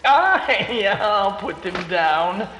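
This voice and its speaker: A man playing a brutal, mocking thief from centuries ago in a radio drama, with rough archaic speech. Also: sits high, very animated, drawn-out words, bright, forceful tone, full character voice. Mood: sneering, mocking. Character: menacing male thief of long ago, sneering and brutal. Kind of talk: 1930s radio acting. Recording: echoey long reverb, clicks and noise.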